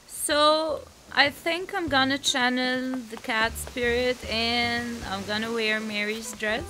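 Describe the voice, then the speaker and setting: A woman's voice: a little high, a bit bright, a little hiss or hum, poor audio. A young woman with an Egyptian Arabic accent, a native Arabic speaker, chatting spontaneously and giggling as she comments on her game. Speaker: gaming YouTuber. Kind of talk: casual gameplay commentary. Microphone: headset.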